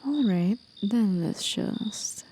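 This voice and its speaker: A woman voicing a gentle, aged, mysterious demon of the forest in an intimate, soothing, breathy half-whisper for an ASMR roleplay. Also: mid-range voice, lively intonation, drawn-out words, a little hiss or hum. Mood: soft, coy.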